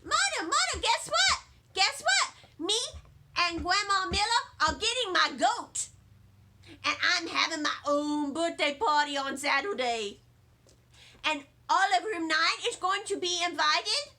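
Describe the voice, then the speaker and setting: A woman voicing an excitable, indignant little girl from a storybook, with childish grammar and emphatic, repeated phrases. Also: very high-pitched, unhurried, voice pushed up, heavily acted. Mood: excited, bossy.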